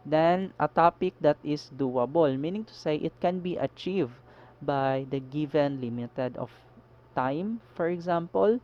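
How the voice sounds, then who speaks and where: A Filipino man with a Cebuano accent, giving a recorded slide lesson as a teacher and switching between English and Cebuano, often checking in with a quick "no?". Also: on the high side, darkish tone, dull audio. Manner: mixes English with Cebuano, explanatory with frequent "no?" checks.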